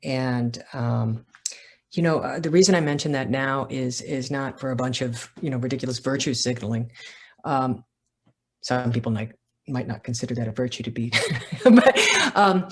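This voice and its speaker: A woman's voice: rumbling low, moderate pace, darkish tone, husky. A woman author speaking at a literary event, with talk and reading from her own writing. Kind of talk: casual talk, then literary reading aloud.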